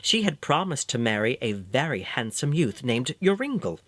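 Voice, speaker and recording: A man narrating a scripted fairy tale as an expressive, measured storyteller. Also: mid-range voice, no room sound.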